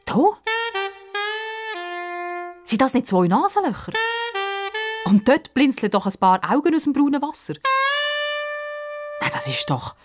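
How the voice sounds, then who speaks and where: A woman's voice: high voice, big pitch swings, measured pace, long pauses, loud music behind the voice. A woman with a Swiss accent telling a scripted children's story expressively.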